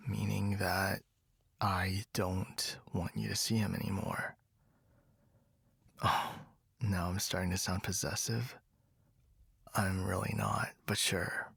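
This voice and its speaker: A young man voicing a jealous, possessive best friend in a whispered roleplay, speaking low and breathy with frequent sighs, close to the microphone. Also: level tone, takes it slowly, long pauses, fairly bright tone, no echo, exaggerated voice. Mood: irritated, defensive. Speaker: ASMR roleplay voice actor. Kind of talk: whispered ASMR boyfriend roleplay.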